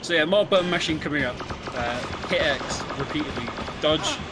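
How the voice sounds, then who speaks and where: A man with a fairly high voice co-commentates on a game. He explains things casually in a halting, chatty way. Game music plays clearly behind his voice. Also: expressive. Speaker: co-commentator at a speedrun event. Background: video game music.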